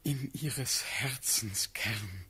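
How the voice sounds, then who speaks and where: Ardent, very husky young prince. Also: male voice, medium pitch, very slow, sharp tone, full character voice. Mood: tender, yearning. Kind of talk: classical German radio play verse acting.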